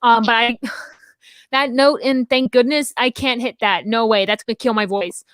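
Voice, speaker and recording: Young woman rambling on a podcast, kinda high voice, dry close sound. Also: steady tone, measured pace, a bit bright, no echo. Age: young adult. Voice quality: high-pitched voice. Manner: chatty, rambling, encouraging. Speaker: podcast co-host. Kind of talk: casual chat.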